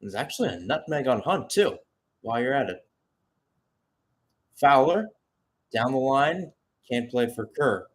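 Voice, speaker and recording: A man's voice: mid-range voice, expressive, unhurried, pauses often. A man giving live radio-style football commentary as a play-by-play caller, mixing match calls with chatty, conversational asides.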